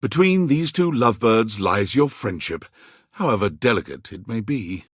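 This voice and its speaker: An actor playing a video game's narrator, telling a story in a dramatic, measured way. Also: male voice, fairly low pitch, very animated, breathy edge, no echo, studio quality. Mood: dramatic storytelling. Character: male storybook-style narrator of a dating game. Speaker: game narrator. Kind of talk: scripted second-person story narration.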